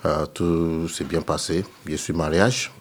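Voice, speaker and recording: A man's voice: rumbling low, steady tone, slow pace, slight background noise. A man from Nigeria, a radio guest who speaks French hesitantly, as an after English. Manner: hesitant, searches for French words. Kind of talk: halting casual speech on air.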